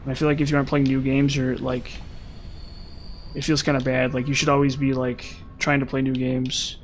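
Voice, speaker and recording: A young man streaming a game, chatting casually with viewers in a rambling way, his voice slightly hoarse from long talking. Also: medium pitch, completely flat delivery, a little fast, soft background music. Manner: rambling, lots of "like" and "um". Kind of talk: casual live-stream chat while gaming.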